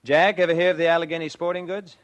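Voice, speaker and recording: An actor playing a nervous, scheming murderer who narrates his crime in a deliberate, confessional way, in 1940s radio suspense style. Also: on the high side, level tone, no room sound, dull audio, close to an everyday voice. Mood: falsely friendly. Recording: vintage radio drama with music underneath.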